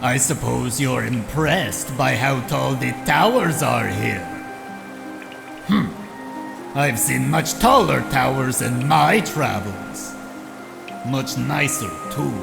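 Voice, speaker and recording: A man voicing a boastful, pompous little bug knight from a video game, with a gravelly, disdainful delivery. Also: mid-range voice, expressive, slow, over music, full character voice. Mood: smug condescension. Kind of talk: video game character voice acting.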